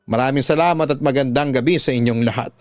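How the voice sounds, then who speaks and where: A man's voice: medium pitch, fast talker, no echo, studio quality. A man with a Filipino accent, a radio drama host reading out a listener's letter as a dramatic story and giving advice.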